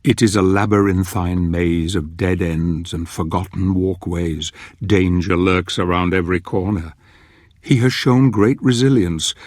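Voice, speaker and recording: An actor playing a solemn chronicler in a dark fantasy game, narrating in a grave, measured, theatrical way. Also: male voice, low-pitched, no room sound, studio quality. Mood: grave, foreboding.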